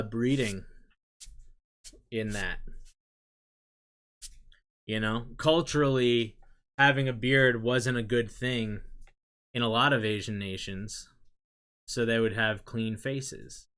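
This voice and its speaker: A young man chatting loosely with his viewers, rambling and trailing off into quiet asides. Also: mid-range voice. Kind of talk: casual rambling chat.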